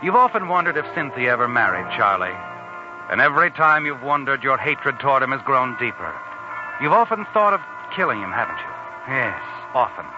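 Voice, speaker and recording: A sinister, all-knowing storyteller played by a man narrates 1940s radio suspense in an insinuating, taunting way. His pitch swings dramatically. Music plays behind him on a muffled old recording. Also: big pitch swings, brisk, close to an everyday voice. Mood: taunting, ominous.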